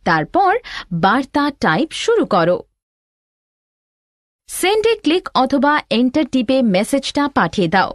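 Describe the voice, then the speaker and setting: A woman voicing an instructive elder sister in an animated lesson, giving deliberate, scripted step-by-step tutorial narration. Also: sits high, dry recording, clean studio sound.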